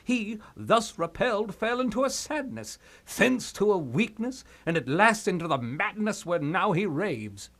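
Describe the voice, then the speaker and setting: A man's voice: sits high, big pitch swings, a little slow, few pauses, a bit bright, heavily acted. A man playing a fussy, pompous old royal counselor who rambles and dodders in a theatrical Shakespeare puppet show. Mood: scheming.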